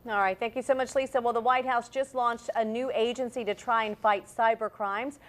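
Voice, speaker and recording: A woman's voice: fairly high pitch, fairly even intonation, a little fast. A woman anchoring a morning TV newscast from a studio. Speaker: TV news anchor.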